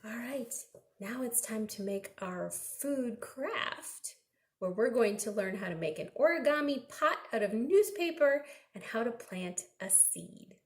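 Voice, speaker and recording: A woman's voice: a little high, lively intonation, unhurried. A woman children's librarian reading aloud expressively to small children, prompting them with simple questions. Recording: roomy, echoing indoor recording.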